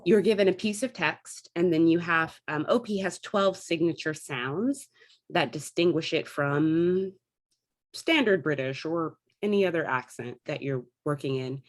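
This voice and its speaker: A woman's voice: low-pitched, lively intonation, measured pace. A woman chatting casually as an interview guest, explaining things in a talkative way and thinking aloud as she goes. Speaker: guest, theatre artist and classical actor.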